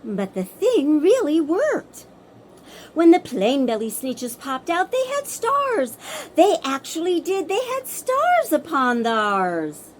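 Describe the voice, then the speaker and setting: A woman storyteller performing a children's bedtime story in rhythmic verse, theatrical and expressive. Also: sits high, slow, slight background noise.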